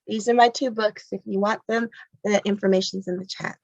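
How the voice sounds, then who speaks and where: A woman's voice: medium pitch, fairly even intonation, a little fast, creaky, a little mellow, no echo. Woman poet, hard-hitting spoken word, dry muffled call audio. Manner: intense, confrontational delivery.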